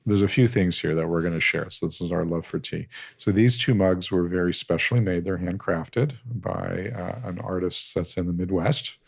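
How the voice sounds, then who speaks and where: A man's voice: deep voice, moderate pace, vocal fry, few pauses, breathy edge. A man co-hosting a podcast with his wife, chatting casually and playfully. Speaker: podcast co-host. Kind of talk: casual chat. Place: home, Southern California.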